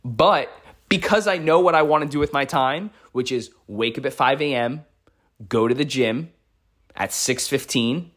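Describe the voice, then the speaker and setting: A man's voice: mid-range voice, measured pace, a bit bright. A man in his thirties with an American accent, giving an impromptu motivational podcast monologue as a life coach, repeating phrases for emphasis. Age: 30s.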